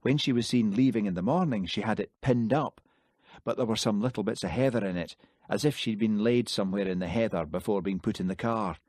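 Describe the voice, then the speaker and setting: A man reading an audiobook, voicing a shrewd village police constable who speaks in a calm, measured way. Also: lowish voice, no echo, studio quality, natural voice.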